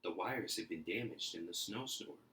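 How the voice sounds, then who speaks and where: Male storybook reader, very low voice, expressive read-aloud style, echoey roomy recording. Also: normal speed, slight background noise. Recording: roomy, echoey indoor sound.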